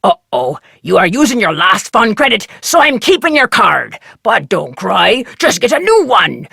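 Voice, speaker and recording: A performer voicing a cheery, piratical carnival game announcer with a raspy voice and jaunty, theatrical showmanship. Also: medium pitch, bright, forceful tone, no echo, clean studio sound, full character voice. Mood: chirpy. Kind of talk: scripted arcade game announcements.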